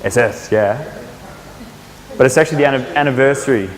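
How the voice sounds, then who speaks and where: A man's voice: medium pitch, brisk. A man hosting a networking event, chatting with the audience and asking the room questions. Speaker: event host. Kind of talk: casual facilitating at a networking event. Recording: long reverb in a hall, faint room noise.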